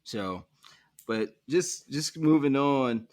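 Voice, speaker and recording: A man's voice: medium pitch, expressive, takes it slowly, a little mellow. A man hosting a podcast interview, easygoing, asking long, rambling questions. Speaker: podcast host. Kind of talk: casual interview.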